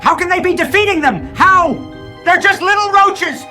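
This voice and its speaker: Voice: very high-pitched, moderate pace, bright, forceful tone, faint music behind. An actor voicing a furious, scornful mad scientist in a cartoon comic dub, ranting with sneering sarcasm. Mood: outraged.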